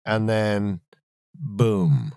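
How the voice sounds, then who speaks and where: A man's voice: fairly low pitch, expressive, drawn-out words, mellow, clean tone, dry recording. Guy chatting about a book, takes his time, kinda dramatic, very dry sound. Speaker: podcast co-host. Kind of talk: casual book discussion.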